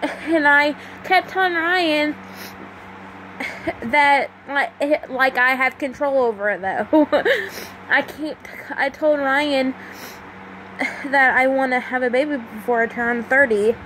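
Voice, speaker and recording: A woman of twenty-five talking in an emotional, halting way into a phone held close, at home at night. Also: high voice, fairly bright tone. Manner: emotional, halting, with many pauses and fillers. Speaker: vlogger. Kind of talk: confessional personal vlog. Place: small room at home at night, fan running. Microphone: phone held close to the face. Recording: faint fan hum in a home room. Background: faint fan hum.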